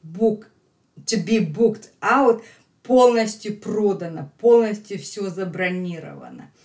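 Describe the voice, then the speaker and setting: Slow-paced middle-aged Russian woman teaching. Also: medium pitch, darkish tone. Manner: slow, emphatic repetition for learners. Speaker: English teacher. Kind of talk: lesson explaining a phone call line by line.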